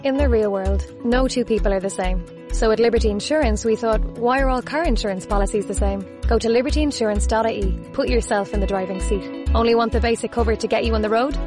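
Female commercial narrator, fast delivery, mid pitch, scripted read with music bed. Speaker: advert voice-over.

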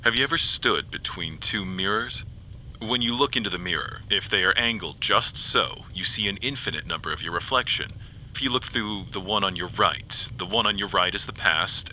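A man's voice: low-pitched, sharp tone, a little hiss or hum, low-quality recording. A man voicing a grave inquisitor who explains things earnestly. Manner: earnest, explanatory, then urgent. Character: grave male inquisitor, a time-traveling guide.

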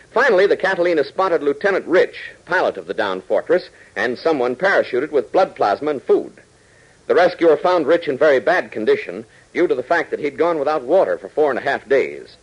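A man's voice: fairly high pitch, slightly rough, dull audio. An American man narrating emphatically and dramatically as an announcer in 1940s radio style. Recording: old 1940s radio broadcast recording.

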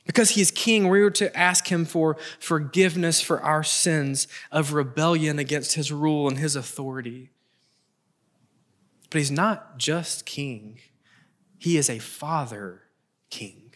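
A middle-aged man preaching a prepared sermon, earnest and emphatic, with deliberate pauses and repeated phrases for weight. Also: on the high side, a bit bright.

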